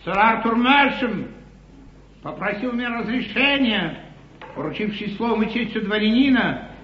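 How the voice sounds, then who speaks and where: A sly, witty lord, mocking and theatrical in a high voice. He sounds distant on an echoey old stage recording. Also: animated, moderate pace. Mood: suave, assured. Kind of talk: 1950s theatrical stage acting in a comedy. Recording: muffled, distant, echoey 1950s theatre broadcast.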